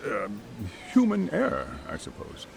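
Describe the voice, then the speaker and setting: An actor playing a careful, formal school chairman in a video game, speaking in a measured and hesitant way with regret and unease. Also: medium pitch, wildly swooping pitch, vocal fry, slight background noise, full character voice. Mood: uneasy, somber. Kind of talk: dramatic game cutscene dialogue.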